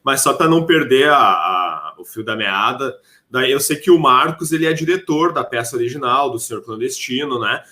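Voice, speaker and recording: A man's voice: mid-range voice, lively intonation, normal speed, hardly any pauses, sharp tone. A man hosting a casual interview and explaining his questions informally. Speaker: interview host.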